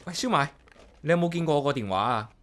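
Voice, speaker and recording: An actor playing a solitary, caring young office worker with a Hong Kong accent, narrating and chatting casually in a radio drama. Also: male voice, a little high, animated, quick pace, background noise, natural voice. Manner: casual, talks playfully to himself and pet. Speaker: lead character and narrator. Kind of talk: radio drama dialogue and inner-monologue narration.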